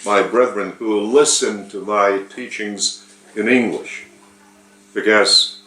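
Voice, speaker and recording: A man from Lithuania, speaking English with a Lithuanian accent, giving an unscripted spiritual sermon with many pauses and fillers. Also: lowish voice, fairly even intonation, bright, forceful tone, echoey room, rough recording. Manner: slow, halting, with frequent pauses and fillers. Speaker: spiritual teacher.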